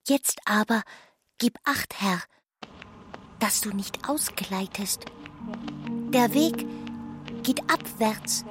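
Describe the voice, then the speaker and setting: A woman narrating a literary radio play with deliberate, pausing phrasing. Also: medium pitch, expressive, music in the background.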